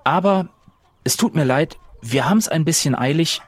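A man's voice: fairly high pitch, expressive, normal speed, slightly rough, no echo. A man playing a tabletop roleplaying game, improvising conversationally in character.

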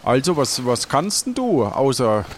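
Slow-paced male adventure narrator. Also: medium pitch, very animated. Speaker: narrator and game master. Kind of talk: improvised storytelling for an adventure audio play. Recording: noisy sound-effect ambience behind voice.

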